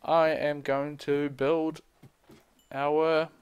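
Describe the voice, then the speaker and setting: A young man talks through a game session in a casual, chatty way. His voice sits a little high for a man. The sound is dry and close. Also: flat delivery, smooth, no room sound, highs cut off. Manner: chatty, quick reactions, frequent laughs. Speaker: gamer. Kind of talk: casual let's play chat.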